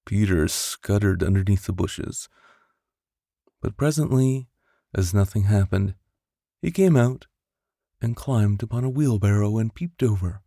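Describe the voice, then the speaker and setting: A man's voice: lowish voice, lively intonation, unhurried, creaky, pauses often, dark tone, dry recording. A man narrating a bedtime story expressively and theatrically, close on a studio microphone. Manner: theatrical, with whispers and sound-effect voices. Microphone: close studio condenser microphone.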